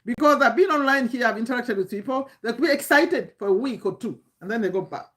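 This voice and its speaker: A woman's voice: a little high, animated, fast, fairly bright tone. A woman in her fifties with a Ugandan accent gives an informal motivational talk as a coach, emphatically repeating phrases and asking rhetorical questions. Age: 50s. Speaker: online business coach.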